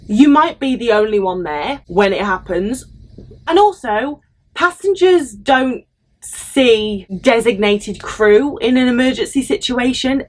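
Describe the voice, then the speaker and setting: A young woman with a British accent giving candid, casual advice straight to camera in an animated, chatty way. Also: medium pitch. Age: young adult. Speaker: vlogger, former cruise ship crew member.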